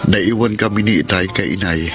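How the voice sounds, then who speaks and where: A man's voice: fairly low pitch, moderate pace, over loud music, natural voice. A man playing an earnest, polite young street vendor with a Filipino accent in a radio drama. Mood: sincere. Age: young adult. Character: earnest, hardworking young male egg vendor, eldest son. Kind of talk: Filipino radio drama acting with first-person narration.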